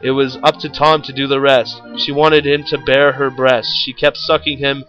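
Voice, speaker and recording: A man's voice: medium pitch, level tone, moderate pace, lo-fi sound, soft background music. A man reading a story aloud in a theatrical, mock-serious way. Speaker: story reader, show host.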